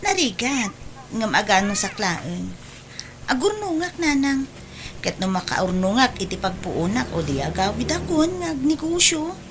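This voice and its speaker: A woman's voice: a little high, sing-song, measured pace, noisy background. A woman playing a dutiful, determined adult daughter, hopeful and reassuring, in a restrained audio drama. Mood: hopeful, resolute.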